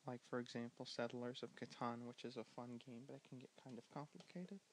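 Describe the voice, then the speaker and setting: A young man with a soft, gentle voice teaching a board game in a soft-spoken ASMR style, slipping in and out of whispers and pausing with ums. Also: medium pitch, fairly even intonation, slight background noise, rough recording. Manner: drifting in and out of whisper, hesitant with many ums. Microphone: handheld camera mic.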